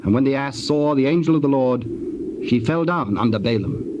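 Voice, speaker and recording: A man's voice: mid-range voice, expressive, a little fast, highs cut off. Solemn male scripture narrator, noisy tape. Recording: noisy old cassette tape.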